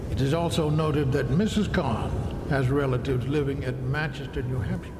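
A man's voice: medium pitch, normal speed, close to an everyday voice. Male actor as formal FBI official, radio drama report, reverberant hall, noisy background. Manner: formal, bureaucratic. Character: official FBI man reading surveillance reports. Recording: echoey hall, clear background noise.

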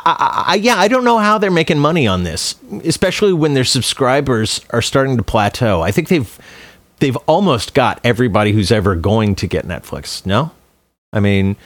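A man's voice: lowish voice, no echo. A man co-hosting a podcast in casual, opinionated chat, swearing freely and often restarting his phrases. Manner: profane, opinionated, halting with repeated words. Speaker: podcast co-host. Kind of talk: casual chat about films and music.

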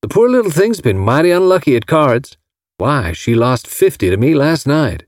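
A man voicing a folksy, lovestruck rich gentleman from Alabama with a drawling Southern American accent, in an audiobook. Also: fairly high pitch, sing-song, normal speed, no room sound, clean studio sound, voice pushed up. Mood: confiding.

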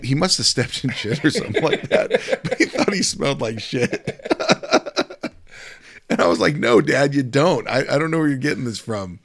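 A middle-aged man with a deep voice co-hosting a podcast, chatting casually and rambling a little, repeating words as he tells his stories. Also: fairly bright tone. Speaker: podcast co-host. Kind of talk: casual chat.

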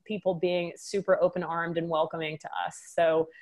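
A woman's voice: on the low side, little pitch movement, moderate pace, a little mellow. A woman from Texas with a Texan accent, a med spa owner answering interview questions in an upbeat way with many restarts. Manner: upbeat, laughs often, frequent restarts. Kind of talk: casual interview.

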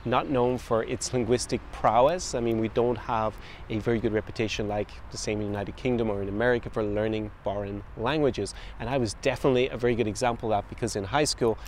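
A man's voice: medium pitch, steady tone, fast talker, a little mellow, a little hiss or hum. A young man from Ireland with a faded, mixed Irish accent, talking casually as a podcast host, with frequent fillers and restarts. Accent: mixed, faded Irish with international influences. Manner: conversational, with frequent fillers and self-corrections. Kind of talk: casual talk to camera. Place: outdoors in a city street.

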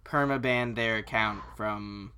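A man's voice: lowish voice, fairly even intonation, slow pace, pushed and bright, clear, resonant tone. A man co-hosting a podcast in casual, slangy chat with plenty of casual swearing. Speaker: podcast co-host. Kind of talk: casual chat about video games.

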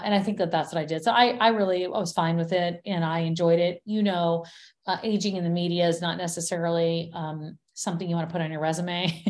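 A woman in her fifties, a guest in a casual interview, talking in a chatty, animated way with frequent restarts. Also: mid-range voice. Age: 50s.

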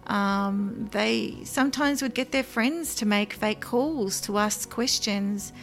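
A woman, a guest in an interview, telling of her past work in an earnest, measured way with frequent ums. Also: mid-range voice, faint music behind. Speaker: former psychic line worker, guest. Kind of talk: interview, personal recollection.

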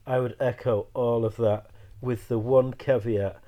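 Podcast host, male, hoarse with a cold, relaxed, some stammered restarts, mid pitch. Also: measured pace. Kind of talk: casual sports podcast chat.

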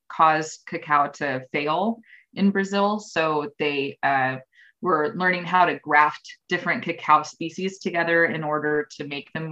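A woman's voice: low-pitched, sharp tone. A woman in her thirties chatting as an interview guest, rambling with many ums and restarts. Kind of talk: casual interview conversation. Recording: dull lo-fi audio.

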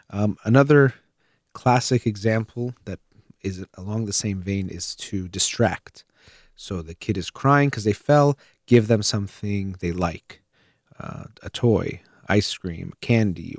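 A man hosting a show, giving a reflective, measured talk on parenting with thoughtful pauses. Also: lowish voice, a little mellow, no echo, studio quality. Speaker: host.

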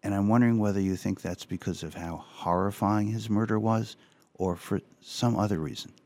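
Deep-voiced chatty radio host. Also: male voice, fairly even intonation, normal speed, a little mellow. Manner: chatty, with asides and fillers. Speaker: radio talk show host. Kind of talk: casual radio talk, reading headlines.

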